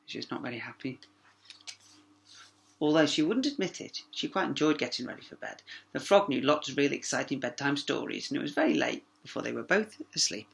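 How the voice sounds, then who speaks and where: A man's voice: medium pitch, a little fast, vocal fry, a bit bright. A man narrating a children's story expressively as a teacher, with small asides to young listeners.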